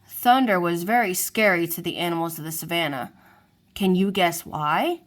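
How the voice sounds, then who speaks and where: A woman's voice: on the low side, moderate pace. Woman reading a children's story aloud. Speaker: storybook reader.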